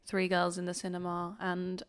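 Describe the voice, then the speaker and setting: A woman co-hosting a film podcast in casual chat, teasing and deadpan. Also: on the low side, normal speed, clean tone, no echo.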